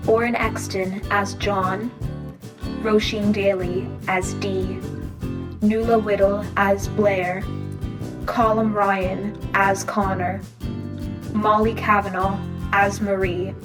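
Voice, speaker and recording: A woman playing an impersonal announcer, reading scripted lines in a measured, deadpan way. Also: mid-range voice, over music, close to an everyday voice, low-quality recording. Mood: neutral credits reading. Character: flat, impersonal answering-machine announcer.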